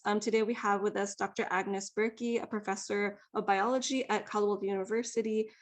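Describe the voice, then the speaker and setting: Woman, librarian, moderator, polite, many fillers. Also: medium pitch. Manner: frequent "um", polite, steady. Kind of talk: event introduction.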